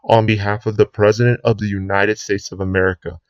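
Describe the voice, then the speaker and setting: A man playing a sinister US president who gives a grave, deliberate address to the nation in an emergency broadcast drama. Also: fairly low pitch, little pitch movement, no room sound, highs cut off, close to an everyday voice. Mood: grave, menacing. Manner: grave, deliberate speechmaking with drawn-out ominous phrases.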